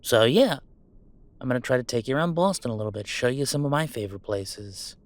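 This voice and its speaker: A man's voice: medium pitch, animated, brisk, dry recording, lightly acted. A man playing a chatty, affectionate, well-off gamer in a roleplay audio drama, talking casually and reassuringly in a rambling, wry way. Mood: upbeat, affectionate. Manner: casual, rambling, self-interrupting, wryly reassuring. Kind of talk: intimate roleplay audio acting, one-sided conversation.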